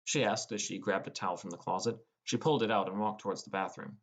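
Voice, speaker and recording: A man's voice: on the low side, quick pace. A man reading scripted first-person fantasy fiction as an audiobook narrator.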